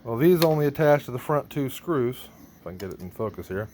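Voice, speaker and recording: Male DIY vlogger, medium pitch, off-the-cuff working narration, noticeable ambient noise. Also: animated, a little fast, dark tone. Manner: informal, thinking aloud while working. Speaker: band member showing a PA rack rebuild. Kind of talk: casual hands-on vlog narration.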